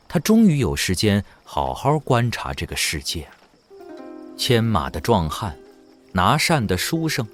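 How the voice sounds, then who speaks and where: Male audio drama narrator, lowish register, animated intonation, light music underneath. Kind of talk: scripted dramatic story narration.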